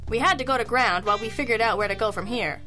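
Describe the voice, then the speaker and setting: An actress playing a sharp, sardonic female private detective, brisk and needling, in old-time radio style. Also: on the high side, soft background music. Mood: wry. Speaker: detective and narrator.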